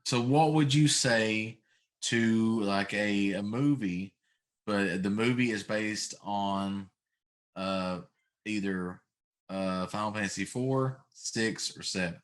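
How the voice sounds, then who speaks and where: Low-voiced middle-aged man, casual talk. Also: slow pace. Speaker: talk show host. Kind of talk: casual opinionated chat.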